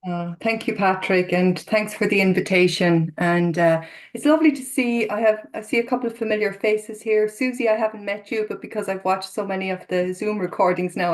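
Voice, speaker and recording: A middle-aged Irish woman, a therapist, giving an earnest, openly personal talk to an online recovery group over a video call. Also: mid-range voice. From Ireland. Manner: earnest, open and self-disclosing. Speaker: therapist and guest speaker.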